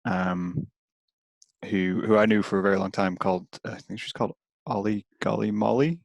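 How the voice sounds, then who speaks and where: A man's voice: on the low side, steady tone, moderate pace, creaky, no room sound. A man with an English Midlands accent chatting casually as a podcast host, hesitant, with lots of fillers and restarts. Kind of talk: casual chat.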